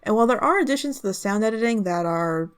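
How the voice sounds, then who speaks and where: A woman's voice: mid-range voice, animated, fast talker, clean tone. A woman narrating a review in the style of an online video commentary.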